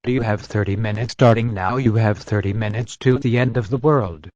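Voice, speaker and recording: A man's voice: lowish voice, steady tone, a little fast, a little mellow, no room sound. A man reading a scripted emergency broadcast in a solemn manner. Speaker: emergency broadcast announcer in a fictional scenario. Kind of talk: scripted emergency alert narration. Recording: dull, muffled lo-fi audio.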